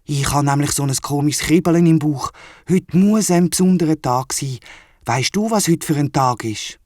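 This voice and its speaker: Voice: medium pitch, moderate pace, no room sound, clean studio sound, natural voice. A storyteller voicing an eager, naive, forgetful young male platypus with a Swiss German accent in a children's audio story. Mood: puzzled, dejected. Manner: excitable, naive, questioning.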